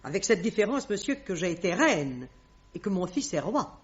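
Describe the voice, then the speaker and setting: A woman's voice: fairly low pitch, very animated. An actress playing a proud middle-aged queen mother in a French radio drama, speaking formally and haughtily on a dull old recording. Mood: haughty, guarded. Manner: haughty, formal, measured diction.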